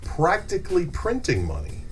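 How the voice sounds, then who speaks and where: Man playing a wry, animated podcast host. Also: high-pitched, normal speed, faint music behind. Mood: playful quipping. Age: middle-aged. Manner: sardonic, punchy interjections. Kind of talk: scripted podcast dialogue. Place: small indoor podcast studio. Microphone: close studio microphone on a stand.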